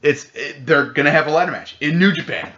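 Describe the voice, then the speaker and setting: A man co-hosting a casual podcast chat, rambling and excitable, swearing freely. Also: on the high side, fairly quick. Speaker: podcast co-host. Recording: dull lo-fi podcast audio.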